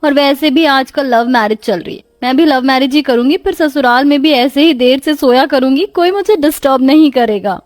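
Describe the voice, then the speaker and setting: A woman's voice: sits high, moderate pace, few pauses, no echo, studio quality, lightly acted. A woman voicing a carefree, lazy young college woman in a story, with a breezy, whiny manner. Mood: breezy, defiant.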